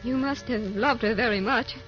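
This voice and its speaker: An actress playing a gentle, youthful woman with a secret sorrow, tender and wistful, in old-time radio drama style. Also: fairly high pitch, moderate pace, natural voice. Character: youthful, gentle, secretly sorrowful wife posing as a distant cousin. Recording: dull old radio recording, music behind.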